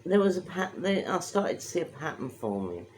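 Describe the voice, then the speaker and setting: A woman's voice: low voice, sing-song, moderate pace, a little mellow, a little hiss or hum. A woman co-hosting a casual chat, teasing and drawing out her words for emphasis. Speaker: co-host.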